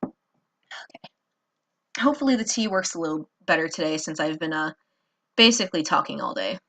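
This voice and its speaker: Woman, tired, lowish voice, casual art tutorial, rambling with fillers, talking while drawing at home. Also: animated, brisk, fairly bright tone. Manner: rambling, self-deprecating, many fillers.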